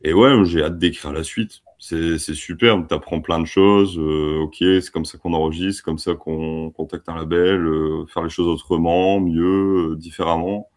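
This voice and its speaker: Young french guy in his 20s, low voice, laid-back chatty band talk. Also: moderate pace, few pauses. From France. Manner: relaxed, chatty, laughs easily. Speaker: band musician. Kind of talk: casual interview chat.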